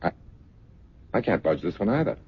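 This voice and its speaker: An actor playing a socialite's patient, slightly wry husband in an old-time radio drama. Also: male voice, low-pitched, very animated, normal speed, slightly rough, full character voice. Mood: wry, mild. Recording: muffled old radio recording.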